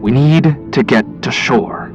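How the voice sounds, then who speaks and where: A man's voice: mid-range voice, slow pace, muffled recording, over music, voice pushed up, lightly acted. A man voicing a nervous, withdrawn younger man in a horror radio story, hesitant and pleading in manner. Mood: fearful, urgent.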